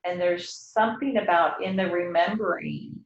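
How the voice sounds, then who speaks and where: A middle-aged woman talking reflectively and intimately about poetry, gently and contemplatively, with hesitant pauses. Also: lowish voice, vocal fry, a little hiss or hum. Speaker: host reading and discussing poetry. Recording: roomy, slightly dull sound.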